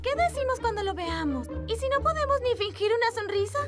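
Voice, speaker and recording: A woman voicing an excitable, anxious little girl in a dubbed children's cartoon. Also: very high voice, brisk. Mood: worried. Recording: loud children's music behind the voice.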